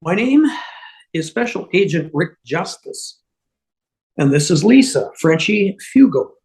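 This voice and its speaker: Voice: medium pitch, sing-song, measured pace, darkish tone, rough recording. An amateur actor playing a nervous, stammering schemer in a TV comedy table read, improvising cover stories. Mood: nervous bluffing.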